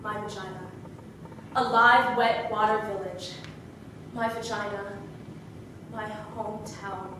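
A woman on stage playing a traumatized, grieving Bosnian refugee in a dramatic monologue, speaking in halting phrases with deliberate pauses. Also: medium pitch, sharp tone, recorded from a distance. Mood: wistful, tender. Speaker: stage performer. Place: indoor theater stage. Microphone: distant camera microphone. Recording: echoey stage recording from afar, noisy.

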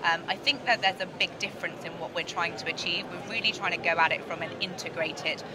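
A middle-aged British woman giving a promotional interview as a company director, explaining in a measured corporate way at a busy trade show. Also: fairly low pitch. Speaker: sales and marketing director being interviewed. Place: large indoor trade show floor. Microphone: handheld interview microphone at chest level. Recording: noisy busy exhibition hall.

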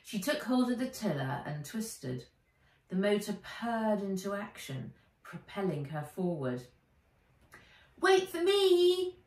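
A middle-aged woman, an author reading aloud from a children's storybook in an expressive narrating style. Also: medium pitch, unhurried. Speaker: author reading own book. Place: living room or sunroom, indoors. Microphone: camera or phone a few feet away. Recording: roomy, distant home recording.

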